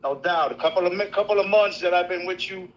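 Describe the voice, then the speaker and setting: A man's voice: sits high, quick pace. Upbeat male caller on a dull line.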